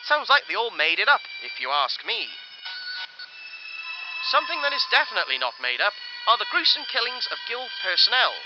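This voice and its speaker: A man's voice: sits high, wildly swooping pitch, normal speed. A man playing a flippant, theatrical newsreader in a comic spoof bulletin, mock-serious and sardonic. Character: flippant, sceptical radio newsreader in a fantasy frontier. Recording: dull band-limited sound over clear music.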